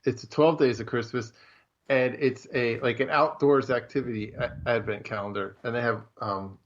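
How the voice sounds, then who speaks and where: Male co-host, easygoing colloquial chat, mid-range pitch, somewhat dull lo-fi recording. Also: fairly even intonation, moderate pace. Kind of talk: casual chat. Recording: slightly dull, lo-fi audio.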